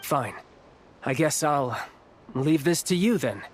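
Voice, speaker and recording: A man's voice: fairly high pitch, lively intonation, slow, slight background noise. A man playing a reassuring comrade in a video game, in scripted dramatic dialogue. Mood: resigned.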